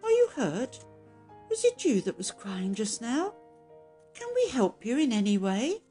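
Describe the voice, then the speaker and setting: A woman voicing a kind but stern old granny in a children's storybook, firm and deliberate. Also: medium pitch, sing-song, mellow, soft background music, close to an everyday voice. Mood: gentle concern.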